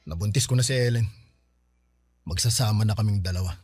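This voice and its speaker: A man's voice: on the low side, brisk, loud music behind the voice, close to an everyday voice. A man acting a tired young man defending himself in a radio drama argument with his girlfriend. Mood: arguing, weary. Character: weary young man in toxic relationship.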